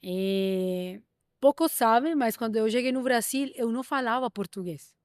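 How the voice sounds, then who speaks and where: A woman hosting a podcast interview, asking measured, thoughtful questions with frequent restarts. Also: a little high, no room sound, clean studio sound. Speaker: podcast host. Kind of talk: interview conversation.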